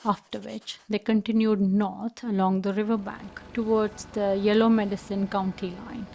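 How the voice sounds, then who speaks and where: A woman's voice: medium pitch, warm tone, a little hiss or hum, poor audio. A woman reading scripted documentary narration as a true crime storyteller.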